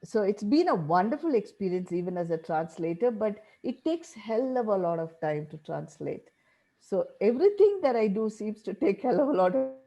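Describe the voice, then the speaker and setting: Older Indian female writer as interview guest, warm dark timbre, reflective and hesitant storytelling. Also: medium pitch, very animated, moderate pace. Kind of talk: conversational personal storytelling.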